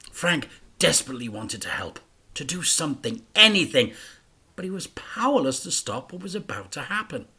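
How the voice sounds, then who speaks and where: Bright, expressive storytelling man. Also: on the high side, moderate pace, slightly rough. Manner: acts out crash sounds with loud bursts. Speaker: teacher reading stories to children. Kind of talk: dramatic storybook read-aloud.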